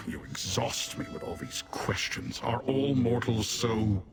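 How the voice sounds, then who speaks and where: A proud demon warrior from a fantasy game with a low voice. He speaks formally and in measured phrases, stern and disdainful toward mortals. Music plays clearly under the voice. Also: sing-song, heavily acted. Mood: impatient disdain. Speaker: non-player character in fantasy game. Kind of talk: scripted video game dialogue.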